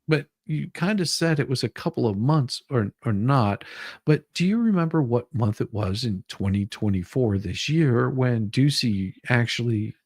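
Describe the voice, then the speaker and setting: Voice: medium pitch, animated, normal speed, a little mellow, no room sound, clean studio sound. A livestream host switching between a dramatic deposition read-through and informal, jokey chat.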